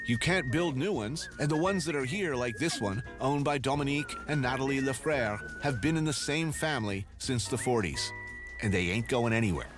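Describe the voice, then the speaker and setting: A middle-aged man hosting a travel show, chatting with deadpan, profane humor and also narrating voice-over. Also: mid-range voice, moderate pace. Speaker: host. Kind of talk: casual chat and scripted voice-over narration. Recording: music playing behind.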